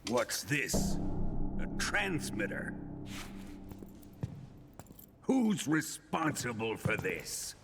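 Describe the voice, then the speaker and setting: Menacing, gravelly sadistic colonel. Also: male voice, medium pitch, very animated, noisy background, exaggerated voice. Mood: suspicious. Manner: taunting, theatrical, deliberate. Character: sadistic, menacing military colonel interrogating a captive. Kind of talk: dramatic video game acting.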